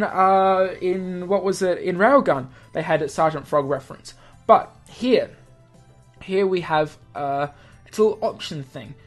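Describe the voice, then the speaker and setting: A let's play host narrates and comments on a visual novel. The delivery rambles and corrects itself along the way, with music playing behind the voice. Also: medium pitch.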